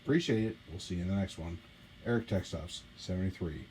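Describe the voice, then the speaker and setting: A man's voice: deep voice, fast, a little mellow. A middle-aged man hosting a repair video, talking in a casual, informal and admiring way as he demonstrates at the bench. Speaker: host of a radio repair channel. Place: small indoor home workshop. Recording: noisy room ambience on camera mic.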